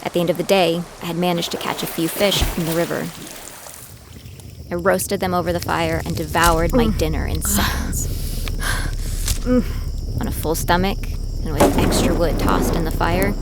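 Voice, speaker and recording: A woman's voice: fairly low pitch, noisy background, lightly acted. A woman playing a gritty young female survivor who tells her own story as scripted audio drama narration. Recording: music bed behind the voice.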